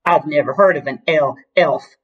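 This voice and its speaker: Storybook bully elephant, male, acted by a woman: big, rude, bossy, rough-edged voice, blunt slangy taunts. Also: deep voice, moderate pace, lo-fi sound. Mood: taunting.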